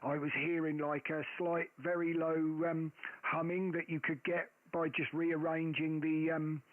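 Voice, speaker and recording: A man's voice: a little high, level tone, a little slow, dry recording. Chatty man on a tinny ham radio. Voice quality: loud, carrying voice. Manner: chatty, rambling with many ums and restarts. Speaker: amateur radio operator. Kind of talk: casual radio chat. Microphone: boom mic close to the face. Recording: narrow phone-like radio audio.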